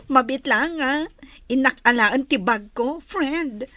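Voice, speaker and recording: A woman's voice: high voice, animated, slow, bright, forceful tone, no echo, heavily acted. A woman playing a chatty, teasing young best friend in a Filipino audio drama. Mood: playful.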